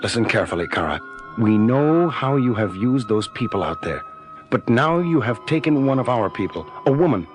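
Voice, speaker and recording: A man acts an urgent, authoritative space commander in a 1970s audio drama. His intonation is animated, with music playing underneath. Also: mid-range voice, moderate pace, close to an everyday voice. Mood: stern, threatening.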